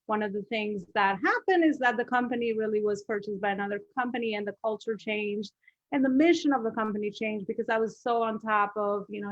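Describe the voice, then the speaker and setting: A woman's voice: mid-range voice, dry recording, dull audio. A middle-aged woman with an Albanian accent, a business coach telling her story as a podcast guest, chatty with many fillers and restarts.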